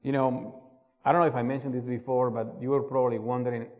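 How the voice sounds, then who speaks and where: A man's voice: medium pitch, flat delivery, fast talker, narrow, tinny audio. A man from Colombia with a Colombian Spanish accent, preaching a sermon and reading scripture, earnestly asking his listeners questions. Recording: long hall reverb.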